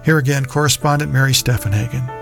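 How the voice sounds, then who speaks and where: A man hosting a radio series reads his scripted links in a measured, announcer-like way. His voice has a gravelly edge, with a faint music bed underneath. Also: mid-range voice, fairly even intonation, a bit bright. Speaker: radio series producer and host.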